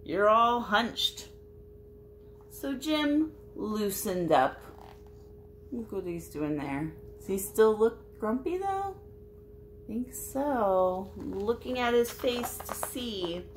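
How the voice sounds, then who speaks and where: A woman reading a picture book aloud to children in an expressive way, chatting to young listeners as she goes. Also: mid-range voice, takes it slowly, a little hiss or hum. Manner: expressive, addressing young listeners with simple questions. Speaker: children's storybook reader and host.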